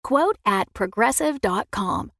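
A woman's voice: medium pitch, expressive, a little slow, creaky, a bit bright, no room sound, clean studio sound. A woman announcer reading a scripted sponsor advertisement. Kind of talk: scripted sponsor read with disclaimer.

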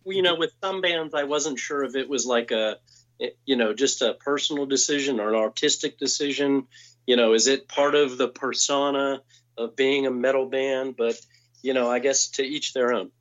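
A man's voice: mid-range voice, moderate pace, a bit bright. A man in his forties hosting an interview, asking questions in a hesitant way and often repeating words. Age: 40s. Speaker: podcast host. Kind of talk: casual interview. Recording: slightly dull lo-fi sound.

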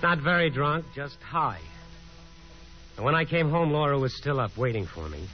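Sardonic young man, wildly expressive. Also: fairly high pitch, normal speed, faint music behind. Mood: tipsy, wistful. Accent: American. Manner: sardonic, theatrical. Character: bitter, restless young son, sardonic dreamer. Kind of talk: 1950s radio drama acting. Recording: dull old radio broadcast recording.

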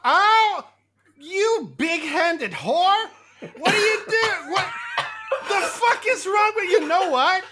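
A crude teenage girl shrieks insults in a high, lively voice that leaps all over its range, as a woman puts her on. She is foul-mouthed and quick to explode. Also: background noise. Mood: furious. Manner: shrill cursing insults.

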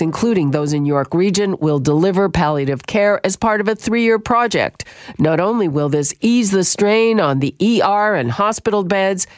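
A woman's voice: on the low side, no room sound, studio quality. Woman radio host asking pointed questions. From Canada. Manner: direct, probing questions. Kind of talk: radio interview.

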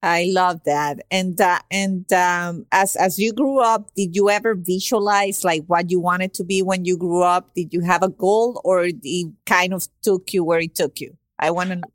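A woman's voice: on the low side, steady tone, normal speed, few pauses, no echo, studio quality. Chatty enthusiastic woman host. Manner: enthusiastic, chatty, laughs often, many fillers. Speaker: podcast host. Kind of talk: podcast interview.

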